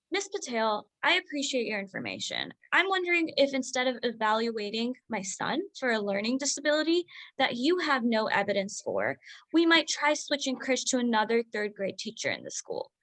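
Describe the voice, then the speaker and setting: A woman playing a stressed, defensive doctor mother in a staged drama reading, with a forceful, insistent manner. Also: fairly high pitch, dry recording, heavily acted.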